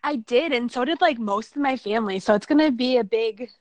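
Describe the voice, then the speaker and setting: A woman's voice: fairly high pitch, a little fast, dry recording. A woman with a Minnesotan accent co-hosting a podcast, chatting in an upbeat, jokey way. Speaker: podcast co-host. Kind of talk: casual chat.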